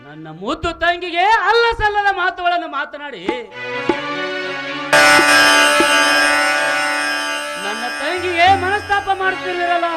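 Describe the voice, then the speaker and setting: Man acting a very high-pitched, defiant young hero. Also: big pitch swings, normal speed. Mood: earnest, pleading. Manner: declamatory, emphatic, repeating phrases for effect. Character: defiant, proud poor young man, protective brother. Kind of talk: melodramatic Kannada stage drama. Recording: stage recording drowned in loud music.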